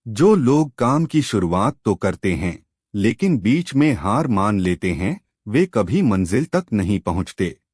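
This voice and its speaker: Man voicing an earnest, unhurried village youth. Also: on the low side, big pitch swings, darkish tone, slightly rough, dry recording, studio quality, heavily acted. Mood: encouraging. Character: earnest, determined village boy grown into young farmer.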